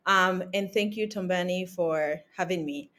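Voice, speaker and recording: A woman in her thirties from Ghana, a guest on a remote podcast interview, telling her career story in a measured way with frequent ums. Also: on the low side, fairly bright tone. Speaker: guest, cosmetics founder. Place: home in Accra, remote call. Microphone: remote call recording.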